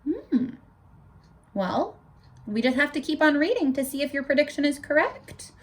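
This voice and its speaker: A woman's voice: sits high, normal speed. A woman teacher reading a picture book aloud to children, stopping to explain words and ask for their predictions. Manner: animated, asks children questions.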